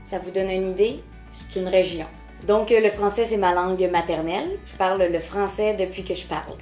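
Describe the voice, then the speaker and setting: A woman's voice: medium pitch, fairly even intonation, normal speed, darkish tone, faint music behind. Québécois woman, Beauce accent, joking intro, echoey room. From Beauce, Québec. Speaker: participant. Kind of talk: casual self-introduction. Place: living room indoors.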